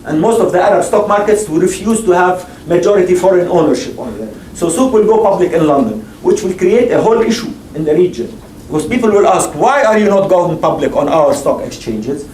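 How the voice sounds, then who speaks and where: A man's voice: high-pitched, animated, fairly quick, darkish tone, far from the mic. A man on a panel talking about investing, emphatic and repetitive, often restarting his sentences. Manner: emphatic, repeats himself, frequent restarts. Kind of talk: panel discussion and audience Q&A. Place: lecture hall. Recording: echoey hall, mic from afar, faint noise.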